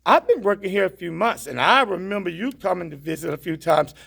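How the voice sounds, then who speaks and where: A woman's voice: on the low side, lively intonation, brisk, gravelly, fairly bright tone, breathy edge, full character voice. A woman reading the part of a dutiful but cheeky housemaid in a stage play, polite and matter-of-fact. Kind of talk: stage play table read.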